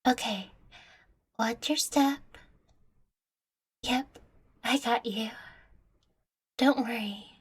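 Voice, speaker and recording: A woman's voice: on the high side, drawn-out words, pauses often, slightly rough, exaggerated voice. A woman playing a playful, affectionate young catgirl girlfriend in an intimate audio roleplay, teasing, coaxing and tender with the listener. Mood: caring, guiding.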